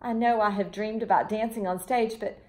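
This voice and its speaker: A woman's voice: mid-range voice, fairly even intonation, moderate pace, mellow, natural voice, poor audio. A woman voicing a young ballerina girl, nervous and earnest, in a children's storybook reading. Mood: anxious.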